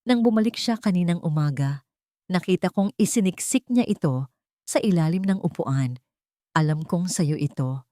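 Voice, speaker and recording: A female narrator plays an older Filipino woman in an audiobook drama, timid yet fed up. She speaks softly and urgently, pleading as she confesses, in a slightly low voice with a dry sound. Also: no echo, close to an everyday voice. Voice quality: soft-spoken.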